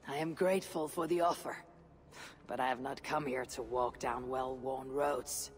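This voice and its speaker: Game cast: actor playing a weary Viking wanderer, clipped and dry, low-key delivery. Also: steady tone, moderate pace, natural voice. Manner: dry, terse, quiet. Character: weary Viking warrior bidding farewell. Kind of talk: video game cutscene acting.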